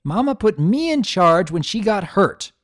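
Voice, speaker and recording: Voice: mid-range voice, very animated, a little slow, voice pushed up. A narrator voicing a bossy, quarrelsome young filly, the eldest sister, with an indignant, grating manner in a cartoon pony story.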